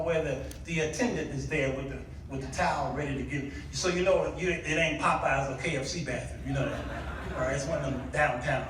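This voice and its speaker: Male preacher, high and bright voice, dramatic repeated punchlines, call-and-response delivery, recorded in a big echoey church. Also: slight background noise. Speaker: guest preacher. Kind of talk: animated sermon with repetition and call-and-response. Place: church sanctuary before a responding congregation. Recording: very echoey hall, distant microphone.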